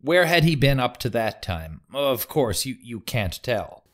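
A methodical prosecuting lawyer played by a man in an audio courtroom drama. His pitch moves in a lively way as he puts formal, probing questions. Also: measured pace.

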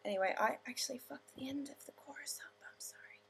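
A woman's voice: high-pitched, quick pace, gravelly, whispered, loud music behind the voice. A young woman on a webcam in a small dim room, chatting dreamily and playfully about her new song, her voice a little scratchy. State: slightly scratchy voice. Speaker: singer-songwriter vlogger. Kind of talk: casual webcam chat and singing a song demo. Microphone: webcam at close range.

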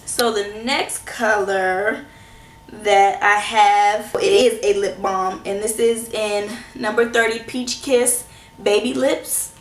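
A woman in her twenties, a little hoarse from a cold, reviewing lipsticks in a chatty, reassuring way, teasing herself now and then. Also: mid-range voice, a little hiss or hum. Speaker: beauty vlogger. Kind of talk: casual product review. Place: small indoor room at home. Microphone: camera in front at close range. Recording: echoey room.

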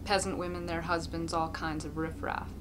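A woman's voice: deep voice, level tone, normal speed, slight background noise. A woman reading a literary story aloud as an amateur narrator, in a dramatic, hammy style. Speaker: amateur reader.

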